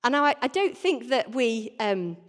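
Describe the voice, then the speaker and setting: A woman's voice: fairly high pitch, sing-song, normal speed. A woman in her thirties gives an informal, conversational sermon as a church speaker, often putting rhetorical questions to her listeners. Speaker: church warden giving a talk. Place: large indoor church. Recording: reverberant hall, live talk.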